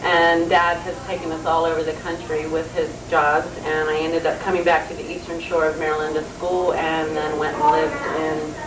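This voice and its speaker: A woman's voice: sits low, level tone, moderate pace, few pauses. A woman in her late thirties chattily introducing herself and her family, laughing easily. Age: 30s. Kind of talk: casual recorded family introductions. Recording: echoey room, mic far off, noisy.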